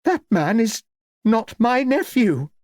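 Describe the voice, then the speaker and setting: Guy putting on a posh bossy old lady, high voice, swoops about, all worked up and indignant. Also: expressive, normal speed, a little mellow, no room sound, clean studio sound. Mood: indignant, shocked. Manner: insistent, indignant, demanding. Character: imperious, agitated old aristocratic lady.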